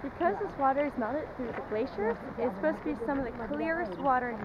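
A young woman talks casually to a camera held at arm's length as she walks a rocky trail. Her voice sits high. The outdoor recording is muffled, with a steady wash of wind noise behind her. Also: brisk. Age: young adult. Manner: chatty, talking to a handheld camera while walking. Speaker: travel vlogger. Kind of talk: casual travel vlog.

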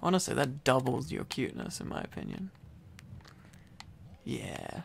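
A man plays a needy, affectionate boyfriend in an intimate ASMR roleplay, rambling at an unhurried pace. His medium-pitched voice has a lot of gravelly fry and a teasing, silly manner. A little hiss and keyboard typing sit behind him. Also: huge pitch swings.

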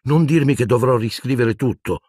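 A man's voice: medium pitch, moderate pace, darkish tone, breathy edge, no echo, clean studio sound, voice pushed up, close to an everyday voice. A man voicing a grumbling, clumsy teenage schoolboy in an audiobook.

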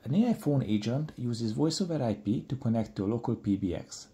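A middle-aged man presenting a software tutorial in a deliberate, explanatory way. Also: mid-range voice, expressive. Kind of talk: scripted software tutorial narration.

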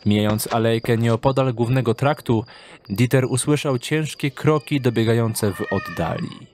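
Male narrator of a dark fantasy audio drama. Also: medium pitch, normal speed, a little hiss or hum.

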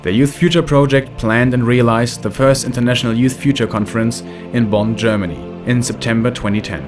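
A man narrating a documentary film in a measured, scripted way. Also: mid-range voice, fairly even intonation. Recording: voice-over with loud music behind.

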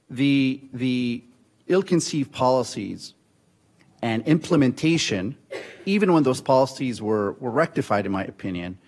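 A man in his forties, born and raised in Syria, speaks at a conference podium in a fairly low voice. He talks in a measured way, pausing on fillers and restarting phrases. A podium microphone picks him up in a meeting room. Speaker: conference panelist. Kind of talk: policy panel talk.

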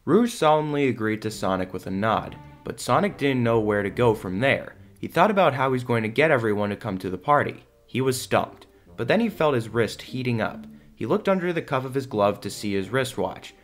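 A man's voice: mid-range voice, animated, brisk, hardly any pauses, lightly acted. A man reading scripted third-person narration for a fan audio drama. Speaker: narrator and lead actor. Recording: music under the voice.

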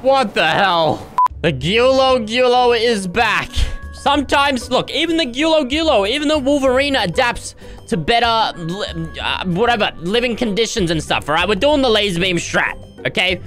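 Young male gamer, high bright voice, over-the-top commentary, going hoarse. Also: expressive, normal speed, faint music behind. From Australia. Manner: loud, dramatic, squealing yelps and groans. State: starting to lose his voice. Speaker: gaming YouTuber. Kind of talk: excited gameplay commentary.

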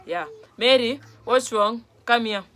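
A woman's voice: fairly high pitch, unhurried, fairly bright tone. A young Kenyan woman, a native Luo speaker, explaining phrases casually to camera in a conversational, repetitive way, often checking that she is understood. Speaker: vlog host teaching phrases. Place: small room at home, on a couch.

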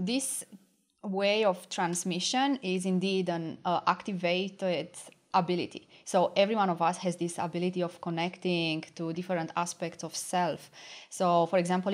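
A woman, a guest answering calmly with many pauses on a studio mic. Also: lowish voice. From Romania. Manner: calm, measured, many hesitations. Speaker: guest, spiritual mentor. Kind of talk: interview answers. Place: podcast studio with wooden wall and plants. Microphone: studio mic on boom arm.

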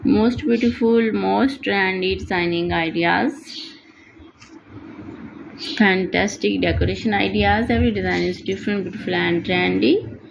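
A woman talks over a slideshow of her channel in plain, non-native English, piling up eager, repeated words of praise. Her voice has a gravelly fry in it. A faint hiss of noise sits under the recording. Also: mid-range voice, fairly even intonation, a little slow, lo-fi sound. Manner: repetitive, enthusiastic promotional phrasing. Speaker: channel host.

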